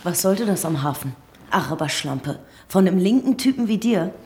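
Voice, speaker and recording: A woman's voice: lowish voice, animated, moderate pace, a little mellow, breathy edge. An actress playing a tough, hot-tempered woman police detective with intense delivery in a radio crime drama. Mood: confronting.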